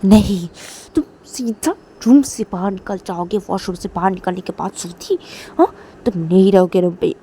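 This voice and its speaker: Woman doing a furious young woman, shrill. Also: normal speed, a little hiss or hum, natural voice. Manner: shouting, pleading, tearful. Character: furious young woman, sister, shouting and sobbing.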